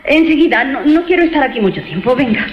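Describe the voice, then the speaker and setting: An actress playing an uneasy, suspicious young call girl in a suspense radio drama, with a Spain accent and halting, nervous delivery. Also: high voice, animated, long reverb tail. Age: young adult. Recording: dull lo-fi radio drama, music behind.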